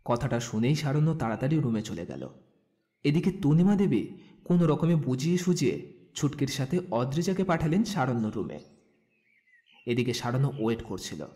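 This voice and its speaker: Mellow male story narrator. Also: medium pitch, big pitch swings, normal speed.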